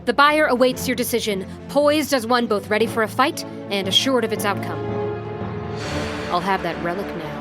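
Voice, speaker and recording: A woman's voice: mid-range voice, music in the background, close to an everyday voice. A woman acting a game master who narrates theatrically and voices a smug, menacing villain in an audio drama. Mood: ominous. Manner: theatrical, measured storytelling.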